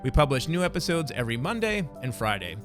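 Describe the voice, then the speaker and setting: A man's voice: mid-range voice, very animated, quick pace, music in the background. A man hosting a podcast, upbeat and affirming, paraphrasing his guest's answers back to him. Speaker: podcast host. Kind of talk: friendly interview, podcast outro.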